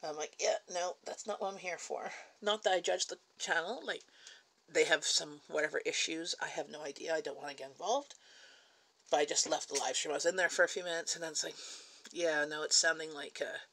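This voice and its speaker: Give me a chatty Canadian woman with a somewhat low voice, answering viewer comments from her kitchen. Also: fairly even intonation, brisk, fairly bright tone, rough recording. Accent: Canadian English. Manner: laughs often between remarks. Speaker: livestream host. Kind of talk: casual livestream chat. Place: home kitchen.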